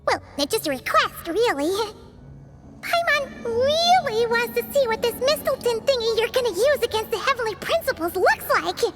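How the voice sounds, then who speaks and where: A very high, bright little voice for a tiny excitable girl companion. She chatters chirpily, talks about herself by name, and her pitch leaps all over. Soft game music plays under her lines. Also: moderate pace, full character voice. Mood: pleading. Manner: chirpy, refers to herself in third person. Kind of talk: video game voice acting.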